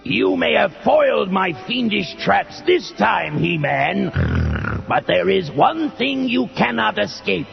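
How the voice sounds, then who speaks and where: A man voicing a boastful, menacing evil warlord with a gravelly voice in a 1980s cartoon storybook on muffled tape. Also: sits high, big pitch swings, takes it slowly, soft background music, heavily acted. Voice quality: gravelly, heavily creaky. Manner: grandiose, menacing. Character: fiendish evil warlord, boastful magical tyrant.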